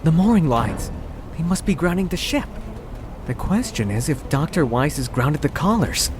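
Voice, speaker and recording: An actor playing an earnest, boyish young American radio private in a pulp adventure drama. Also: male voice, a little high, sing-song, moderate pace, music in the background. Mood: eager. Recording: loud battle and vehicle noise behind.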